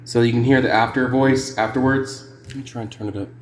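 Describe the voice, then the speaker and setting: A man's voice: mid-range voice, fairly even intonation, fairly quick. A man giving a casual, unscripted music gear demo in a rambling, hesitant, sweary way. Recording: clear background noise.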